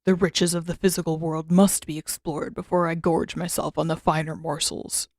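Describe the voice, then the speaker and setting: A woman's voice: low-pitched, flat delivery, normal speed, a little mellow, no room sound, clean studio sound, pitched below the performer's own voice. A woman voicing a menacing centipede spirit in a storybook reading, savoring ornate phrases in a rich tone. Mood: gloating, hungry. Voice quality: rich, unnervingly smooth tone. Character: menacing, gloating centipede spirit with a human face.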